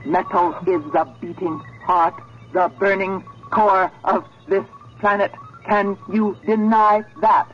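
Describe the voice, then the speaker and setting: An actress playing a coldly menacing, sentient female computer in a 1970s radio drama, speaking slowly, deliberately and imperiously. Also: medium pitch, steady tone, faint music behind, natural voice. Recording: dull vintage radio drama recording.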